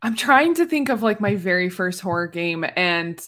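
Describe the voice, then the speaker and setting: A woman chatting casually as a podcast panelist, telling stories in an animated, giggly way. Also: fairly low pitch, moderate pace. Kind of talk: casual chat.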